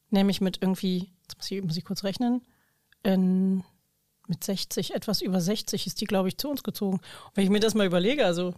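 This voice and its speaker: A woman's voice: medium pitch, darkish tone, no room sound, studio quality. A woman chatting casually in a talkative, informal, colloquial way. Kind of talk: casual conversational chat.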